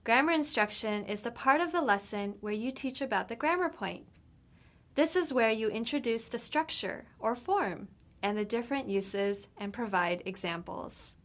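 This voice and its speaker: A woman English instructor giving a scripted grammar lesson in a measured, explanatory way. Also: a little high, animated.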